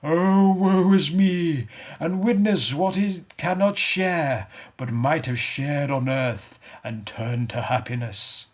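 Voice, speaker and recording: A man's voice: a little high, voice pushed up. Man putting on a wailing chained ghost. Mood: lamenting. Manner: wailing, solemn, drawn-out lament. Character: mournful chained male ghost of a dead businessman.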